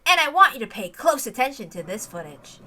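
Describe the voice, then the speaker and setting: Bright-voiced woman reading dramatically. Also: a little high, big pitch swings, fairly quick. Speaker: reader of a visual novel. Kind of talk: dramatic scripted narration read aloud.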